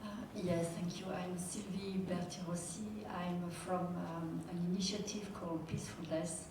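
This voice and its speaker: A woman's voice: fairly low pitch, little pitch movement, normal speed. A woman speaking from the audience at a panel, earnest and reflective with some hesitation. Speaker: audience member. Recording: echoey hall, distant mic, background noise.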